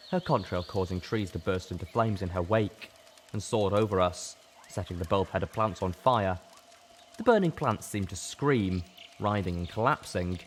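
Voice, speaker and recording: A man narrating a scripted first-person story in a dramatic, deliberate storytelling manner. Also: deep voice, slight background noise. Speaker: audiobook narrator.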